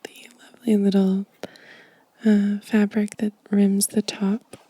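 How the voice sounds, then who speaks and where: A woman giving a gentle, unhurried ASMR show and tell in a soft, mostly whispered, breathy voice, close to the microphone. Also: mid-range voice, completely flat delivery, no room sound. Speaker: ASMR video host.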